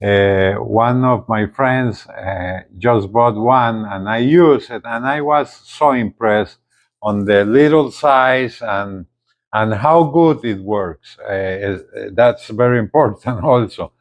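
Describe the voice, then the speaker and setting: A man presenting a casual unboxing video, speaking haltingly and often repeating his words. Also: lowish voice. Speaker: gadget reviewer, video host.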